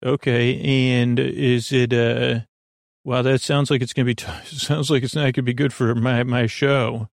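Male podcast host, fry-heavy voice in a dry recording, wandering and hesitant bedtime rambling. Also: mid-range voice, little pitch movement, dry recording. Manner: meandering, halting, trails off into tangents and self-questioning. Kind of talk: rambling monologue for a sleep podcast.

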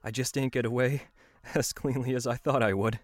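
A man's voice: medium pitch, fairly even intonation, normal speed, no room sound, lightly acted. An actor playing a weary, remorseful father in an audio drama, speaking in a hesitant, subdued way. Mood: tender, remorseful. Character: weary, remorseful troubled father, pleading and apologetic.

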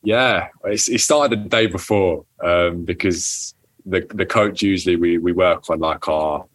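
A man's voice: low voice, measured pace, fairly bright tone, no room sound. A young man answering interview questions casually, with many restarts and ums. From England. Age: young adult. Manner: hesitant, many restarts and ums, laughs often. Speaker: footballer guest.

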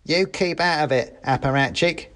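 A man voicing a belligerent, dog-sized soldier mouse in a storybook, high-pitched and blunt, barking out his words. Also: normal speed, fairly bright tone, natural voice. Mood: aggressive. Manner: blunt, colloquial, barking orders.

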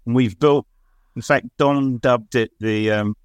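A man speaking as an interview guest, presenting his organisation in a measured, halting and appreciative way. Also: medium pitch, steady tone, clear, resonant tone, no room sound. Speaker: interview guest, chief executive of a telehealth service. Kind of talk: spoken interview answer, presenting an initiative.

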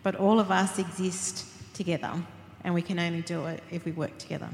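Lower-voiced woman speaking in echoey hall. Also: fairly even intonation, a little fast, vocal fry. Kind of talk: formal welcome speech. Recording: echoey hall, faint room noise.

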